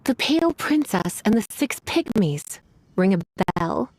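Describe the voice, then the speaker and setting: A woman's voice: medium pitch, big pitch swings, unhurried, a little hiss or hum, low-quality recording. A woman voicing a sultry, teasing librarian with a menacing streak in an anime game, speaking in a coy, languid manner. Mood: coolly menacing. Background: faint music.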